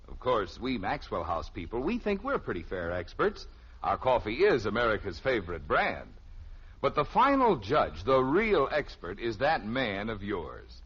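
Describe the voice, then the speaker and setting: A man announcing a 1950s radio commercial with folksy, emphatic salesmanship and a husky voice, on an old recording. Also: medium pitch, a bit bright, dull audio. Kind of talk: 1950s radio advertising pitch.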